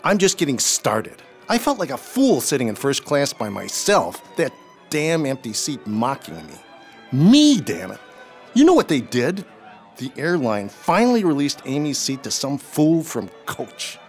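A man's voice: medium pitch, huge pitch swings, a little slow. Guy playing an impatient boozy rich husband in a radio play, bitter, voice swings a lot, bit of music behind. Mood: irritated. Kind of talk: radio drama acting. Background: music under the voice.

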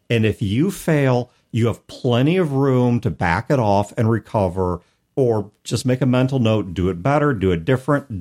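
A man's voice: mid-range voice, a little mellow. A man hosting a podcast in a casual, conversational style, discussing and giving advice. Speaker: podcast host.

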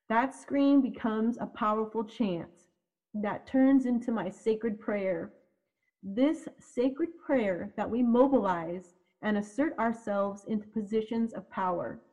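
A woman reciting a spoken-word piece in a measured, prayerful way. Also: on the high side, dark tone. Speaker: event speaker. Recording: dull lo-fi audio.

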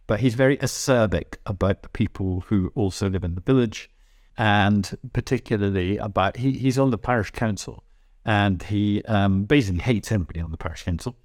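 A man in his sixties talking reflectively in a casual interview as a writer, halting and repeating words as he thinks. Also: fairly low pitch, a little mellow, dry recording, studio quality. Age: 60s. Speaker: writer and voice actor. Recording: music behind the voice. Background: plucked guitar music.

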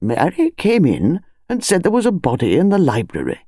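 A woman's voice: lowish voice, wildly swooping pitch, moderate pace, mellow, no echo, clean studio sound. A woman voicing a drowsy, middle-aged English colonel in an audiobook, kindly dismissive of his wife. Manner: kindly patronising, muttering.

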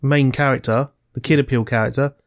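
A man's voice: mid-range voice, fairly even intonation, a little fast. A man co-hosting a podcast, chatting casually about a film and teasing his friend with jokes and swearing. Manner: chatty, jokey, swears freely and teases his co-host. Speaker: podcast co-host reviewing films. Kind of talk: casual chat.

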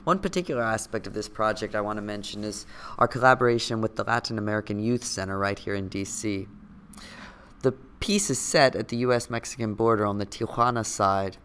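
Hoarse man hosting a podcast. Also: on the low side, a bit bright. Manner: hesitant with many fillers when unscripted. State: hoarse from a cold. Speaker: podcast host. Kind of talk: scripted intro then interview.

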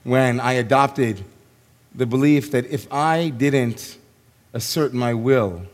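A man, a rabbi, giving a sermon in a slow, reflective way with long pauses and a voice gone hoarse. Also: mid-range voice, a little mellow. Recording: long hall reverb.

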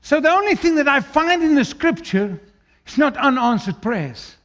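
A man from South Africa with an Afrikaans accent preaching a sermon, swinging between loud declaiming and quiet, low asides. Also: big pitch swings, moderate pace.

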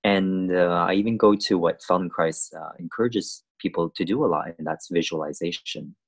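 Husky, halting male dancer. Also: sits low. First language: Cantonese. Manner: thoughtful, halting with many restarts and fillers. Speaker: guest, dancer. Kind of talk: conversational interview.

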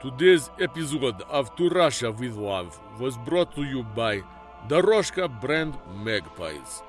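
A man playing a brisk, emphatic salesman announcer in a parody podcast sponsor spot. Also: medium pitch, very animated, faint music behind. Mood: mock-earnest selling. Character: brisk male ad announcer selling cursed magpies.

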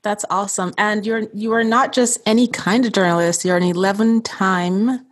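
A woman's voice: mid-range voice, moderate pace. A woman hosting a podcast in a friendly interview style. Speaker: podcast host.

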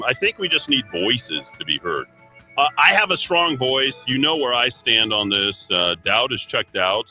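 A man's voice: lowish voice, sharp tone. A man hosting a talk radio show, opinionated, reading items aloud and mocking them, taking calls. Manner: opinionated, reads quotes aloud and mocks them. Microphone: studio broadcast mic.

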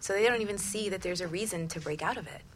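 A woman's voice: mid-range voice, fast, fairly bright tone, a little hiss or hum. A woman co-hosting a conversational radio discussion, sharing observations and putting questions to a guest. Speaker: radio show co-host.

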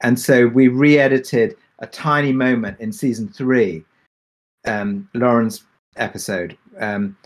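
A man's voice: mid-range voice, slow pace. Man talking through behind-the-scenes details, hesitantly. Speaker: audio drama creator on a Q&A panel. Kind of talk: casual panel discussion.

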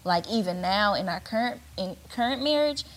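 A woman talking casually about parenting and her marriage, growing animated as she makes her points. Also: mid-range voice, normal speed. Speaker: mother in a couple interview.

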